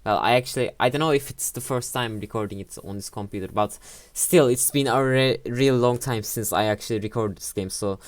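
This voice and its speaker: A woman's voice: a bass voice, brisk, hardly any pauses, no echo. A young woman hosting a let's play, giving casual, rambling and hesitant game commentary on a home computer mic.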